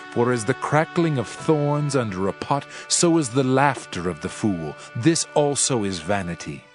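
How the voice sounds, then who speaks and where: Solemn ancient sage, low-voiced and measured, declaiming scripture over music. Reflective dramatized audio Bible read. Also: male voice. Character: solemn, reflective male preacher of ancient wisdom. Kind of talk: dramatized King James scripture reading. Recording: music under the voice. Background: background music.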